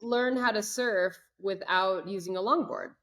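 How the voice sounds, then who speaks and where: A woman's voice: medium pitch, a little slow, a bit bright. A woman hosting a casual interview, upbeat and encouraging, asking follow-up questions. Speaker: podcast host.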